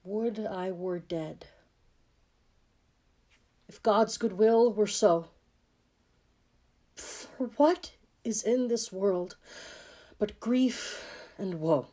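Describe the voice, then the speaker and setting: A woman playing a gentle, war-weary king in a Shakespearean audio drama, speaking mournful, measured verse. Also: medium pitch. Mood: melancholy musing.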